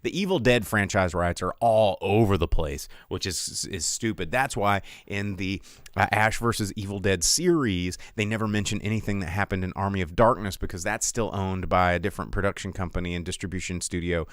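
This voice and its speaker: An American man hosting a live film talk show, chatting casually with viewers in an animated, opinionated way and repeating words for emphasis. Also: deep voice, a little fast, no echo, clean studio sound. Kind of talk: casual livestream chat with viewers.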